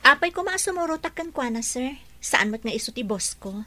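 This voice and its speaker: A woman's voice: sits high, expressive, fairly quick, sharp tone. A woman playing a young employee who answers her boss politely but defensively. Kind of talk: radio drama acting.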